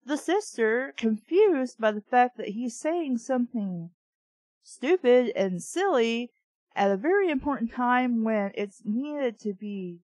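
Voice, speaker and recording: A woman's voice: on the high side, expressive, takes it slowly, a little mellow, no room sound, rough recording. A woman reading a story aloud with sweary, sarcastic, exasperated commentary of her own. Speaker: reader and reviewer of children's books. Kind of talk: audiobook-style reading with sardonic ad-lib commentary.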